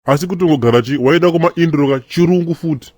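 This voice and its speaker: A man's voice: on the high side, rapid-fire, a little mellow, dry recording, clean studio sound, lightly acted. A man with a Zimbabwean accent voicing a streetwise young man in a comedy cartoon, bantering in slang mixed with English phrases. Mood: chatty, opinionated.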